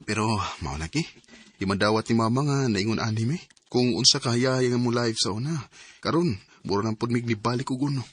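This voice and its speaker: A man's voice: mid-range voice, normal speed, slightly rough, natural voice. A man acting a troubled, grateful husband burdened by debts in a radio drama, hesitant and subdued.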